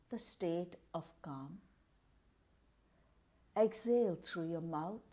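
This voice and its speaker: Woman doing guided meditation, really slow and soft-toned, kinda low voice, calm with lots of pauses. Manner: calm, measured, deliberate phrasing with pauses.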